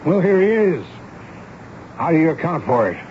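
Commanding, probing army major. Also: male voice, fairly high pitch, very animated, moderate pace. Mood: stern, irritated. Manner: commanding, interrogating. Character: stern, probing but fatherly army major. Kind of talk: 1970s radio drama acting. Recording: dull, noisy old radio broadcast recording.

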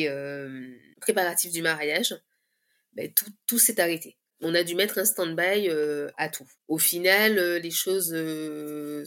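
A woman in her thirties giving a candid personal testimony in conversation, often pausing mid-phrase as she searches for words. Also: lowish voice, fairly bright tone. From Guadeloupe. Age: 30s.

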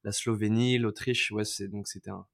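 Low-voiced hesitant man telling his story. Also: steady tone, normal speed, creaky. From France. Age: 30s. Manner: hesitant, many fillers and restarts. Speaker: podcast guest. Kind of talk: casual storytelling interview.

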